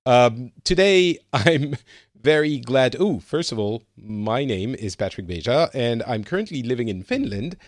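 Chatty French man hosting, lively pitch. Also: measured pace, no echo, clean studio sound. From France. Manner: rambling, many fillers and restarts. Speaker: podcast host. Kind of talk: casual chat.

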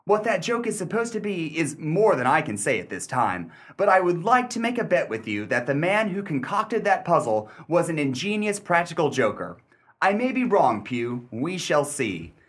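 A man playing a shrewd, suspicious gentleman collector in a radio play, theatrical and wordy, with mock-courteous condescension. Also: on the high side, very animated, normal speed, slightly rough. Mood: skeptical, musing. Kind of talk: old-fashioned radio play acting.

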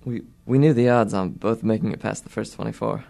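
Man playing very creaky, stoic wounded teen boy. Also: lowish voice, fairly even intonation, a little fast, dark tone. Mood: dazed calm. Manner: earnest, matter-of-fact, stoic. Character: mortally wounded nerdy teenage boy, calm and forgiving. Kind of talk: radio drama acting.

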